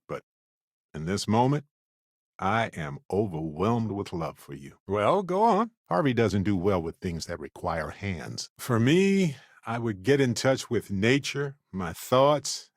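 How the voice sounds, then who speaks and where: An actor playing a warm, wry, talkative space explorer companion in a video game, with an expressive, conversational delivery. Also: male voice, on the low side, no echo, clean studio sound, full character voice. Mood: tender, sincere, then wry, playful.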